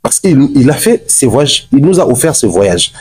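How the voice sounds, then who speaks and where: A man's voice: medium pitch, big pitch swings, moderate pace, a little mellow, slightly rough, no room sound. A man from Congo giving a street interview, talking emphatically and slipping Lingala words into his French.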